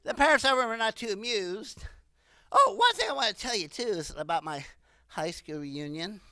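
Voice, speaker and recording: A woman in her late sixties delivers rambling stand-up comedy. Her voice is bright and forceful, with very lively swings in pitch, and the sound is dry and close with little room echo. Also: normal speed. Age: 60s. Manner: animated, rambling.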